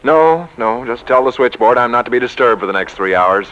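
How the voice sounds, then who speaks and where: An actor playing an excitable, bombastic American publishing executive in a 1940s radio comedy, with theatrical, grandiose emphasis. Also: male voice, medium pitch, brisk, over loud music, natural voice. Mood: exasperated, bossy. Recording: muffled 1940s radio recording.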